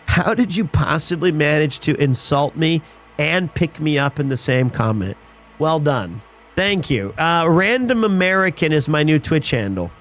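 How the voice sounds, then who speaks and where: A man in his thirties, chatting casually with viewers on a live stream, slightly raspy and hoarse after being sick, rambling and excitable. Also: fairly high pitch, unhurried, soft background music. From Wales. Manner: rambling, restarts often, excitable.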